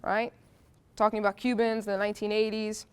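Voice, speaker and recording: A woman's voice: mid-range voice, little pitch movement, fairly quick, smooth. A woman from New Jersey giving a chatty, interactive history lecture to a class, with a stuffy nose from allergies and frequent "um"s. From New Jersey, United States. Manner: chatty, asks the audience questions, frequent "uh" and "um".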